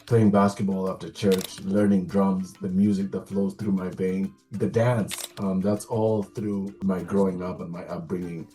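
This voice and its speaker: A Kenyan-accented man speaks as an interview guest in a very low, mellow voice. He talks conversationally and earnestly, reflecting as he goes. Faint music plays behind him. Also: level tone, unhurried, hardly any pauses, low-quality recording. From Nairobi, Kenya. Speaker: interview guest, community leader.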